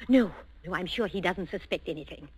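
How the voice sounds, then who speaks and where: An actress playing a bossy, domineering wife in a 1940s radio thriller, giving clipped, imperious orders. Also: female voice, fairly low pitch, expressive, brisk, breathy edge, no room sound. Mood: brisk, conspiratorial. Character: bossy, brisk, domineering wife who manages everything. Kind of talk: 1940s radio suspense acting.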